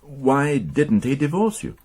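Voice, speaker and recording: An actor in a radio drama playing a senior police inspector, measured and authoritative with dry irony. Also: male voice, medium pitch, very animated, a little mellow. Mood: probing, restrained. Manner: measured, dryly ironic, authoritative.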